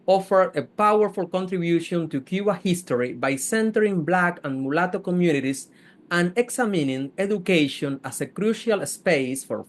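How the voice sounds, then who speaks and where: A male host opens an interview and asks his questions in halting English, repeating words as he searches for them. His voice is a little higher than most men's. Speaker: host, program director. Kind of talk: hosting an interview.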